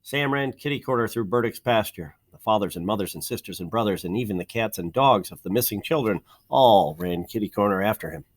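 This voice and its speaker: A man reading a children's picture book aloud in an expressive, measured storytelling manner, stressing key words. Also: fairly low pitch. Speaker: storybook reader.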